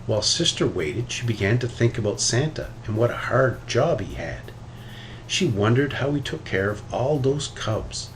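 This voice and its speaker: A man reading a children's story aloud with expressive, animated delivery. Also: mid-range voice, moderate pace, slight background noise, poor audio. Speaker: storybook reader.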